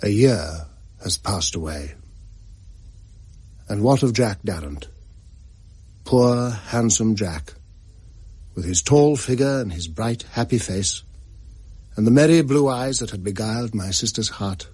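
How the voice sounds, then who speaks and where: An actor playing a concerned, sensible gentleman in a period drama, with measured, formal, old-fashioned phrasing. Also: fairly low pitch, animated, husky, no echo. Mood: mournful. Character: concerned, sensible gentleman friend who narrates mournfully. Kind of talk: period radio drama, literary narration.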